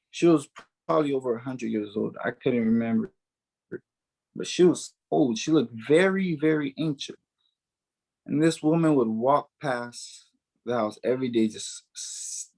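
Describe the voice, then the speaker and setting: A man's voice: medium pitch, a little mellow, low-quality recording. A man in his early twenties, born in Haiti and raised in the United States, giving a personal spiritual testimony in an earnest way, repeating key words for emphasis.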